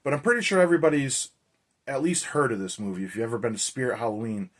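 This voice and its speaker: A man with a hoarse, raspy voice chatting energetically as a horror movie vlogger, loose and full of fillers. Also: medium pitch, quick pace, fairly bright tone. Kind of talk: casual vlog chat.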